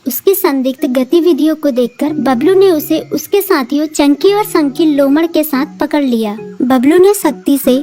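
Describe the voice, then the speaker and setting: A woman narrating a cartoon story in a calm, even storytelling voice. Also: high voice, moderate pace, hardly any pauses.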